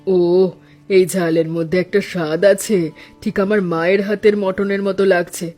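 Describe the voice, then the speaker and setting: A woman voicing a calm, wise elderly mother-in-law in a Bengali cartoon, speaking in a measured way. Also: medium pitch, faint music behind, close to an everyday voice. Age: older.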